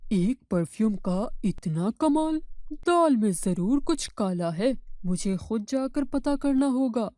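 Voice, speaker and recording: A woman acts out a greedy, scheming businessman from the city in a storybook tale. The lines come slowly and deliberately in a mellow, dark tone, recorded very dry. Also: fairly high pitch, expressive, no room sound. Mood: suspicious, scheming.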